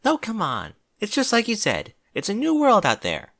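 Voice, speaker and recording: Man doing a high, perky young pony girl. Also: wildly swooping pitch, quick pace, sharp tone, exaggerated voice. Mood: cheerful, consoling. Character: perky, consoling young female pony pupil.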